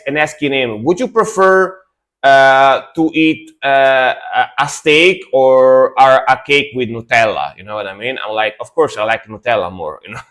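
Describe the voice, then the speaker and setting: A young adult man casually chatting with his stream chat while reacting to metal music, relaxed and talkative. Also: mid-range voice. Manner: relaxed, chatty, answers chat, fillers and restarts.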